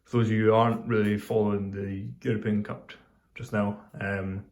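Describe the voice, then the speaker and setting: A Scottish man chatting casually in a video review, rambling and hesitant with many pauses and ums. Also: on the low side, flat delivery, darkish tone. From Scotland. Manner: pauses while lighting a pipe. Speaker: pipe tobacco reviewer.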